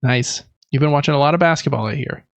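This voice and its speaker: A man's voice: fairly high pitch, fast talker, dry recording. A man co-hosting a podcast in easygoing, casual chat, close on the microphone. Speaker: podcast co-host.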